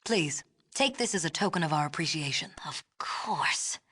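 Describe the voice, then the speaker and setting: A woman's voice: low-pitched, expressive, moderate pace, sharp tone, slightly rough, full character voice. An actress playing a stern, haughty female leader of an isolationist city in a video game, speaking in a formal, clipped, imperious way. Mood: formal approval. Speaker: game character voice actor. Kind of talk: scripted video game dialogue acting.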